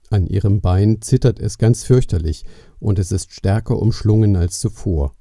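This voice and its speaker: A man's voice: fairly low pitch, dark tone, no room sound, clean studio sound. A man narrating a scripted Christmas story for a family audio play.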